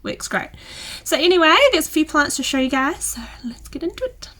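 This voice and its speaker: A young woman with a New Zealand accent chatting to camera, a little croaky from a cold, enthusiastic and dipping into soft near-whispers. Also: high voice, expressive, fairly quick. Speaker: plant vlogger. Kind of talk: casual chat to camera. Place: indoor room with plant shelves. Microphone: camera close in front.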